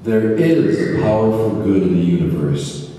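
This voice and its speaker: A man playing a calm, assured spiritual teacher in an amateur radio play, speaking in measured, preacherly reassurances. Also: lowish voice. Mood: calm, reassuring. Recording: distant echoey hall, faint room noise.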